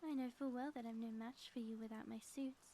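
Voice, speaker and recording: A high, soft-toned supervillainess played by a woman from an ASMR audio roleplay. She is a grandiose schemer who is also a devoted spouse, and she phrases everything with theatrical formality. Faint noise sits behind the voice. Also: steady tone, fairly quick, natural voice. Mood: grandiose, teasing.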